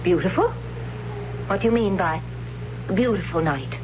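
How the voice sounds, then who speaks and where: An actress playing a proud, blunt young huntress in a radio drama, matter-of-fact and puzzled by feeling. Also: female voice, on the low side, animated, moderate pace, lightly acted. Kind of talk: 1970s radio drama acting. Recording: noisy, dull 1970s radio broadcast with hum.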